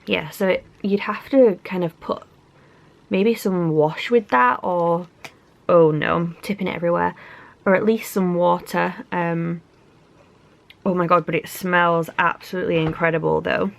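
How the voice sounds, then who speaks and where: A woman's voice: fairly low pitch, steady tone, measured pace, gravelly. A young woman from England with a stuffy, congested cold, chatting casually through an unboxing with lots of likes and ums. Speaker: beauty vlogger.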